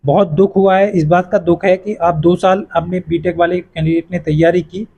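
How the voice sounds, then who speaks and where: A man's voice: high voice, fairly even intonation, normal speed, creaky, warm tone. A man casually explaining exam cutoff scores to students, addressing them as friends, with a repetitive, reassuring manner and lots of fillers. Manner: repetitive, fills with "yahan par" and "jo hai". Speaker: exam coaching YouTuber.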